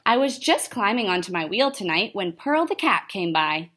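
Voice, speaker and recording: A woman's voice: medium pitch, sing-song, normal speed, fairly bright tone. A young woman, a teacher, reading a children's picture book aloud expressively in a classroom. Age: young adult. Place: indoor classroom.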